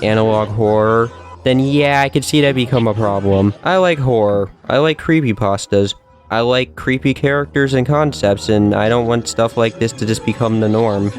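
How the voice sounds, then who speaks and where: Casting: male online commentator, casual and opinionated, earnest and emphatic delivery, mid-range pitch, music underneath. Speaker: video commentator. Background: background music.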